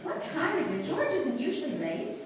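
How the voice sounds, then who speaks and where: A woman playing a sharp-tongued, imperious young society bride in a live radio drama. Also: sits high, huge pitch swings, normal speed. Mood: impatient. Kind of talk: live old-time radio play acting. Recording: echoey hall, distant mic, faint noise.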